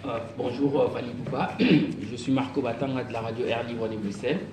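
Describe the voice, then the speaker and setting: Male reporter, mid pitch, formal structured questioning, picked up from far off. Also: brisk. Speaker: radio journalist asking questions. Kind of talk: press conference questioning. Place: press conference room in Brussels. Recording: echoey room, distant mic, clear noise.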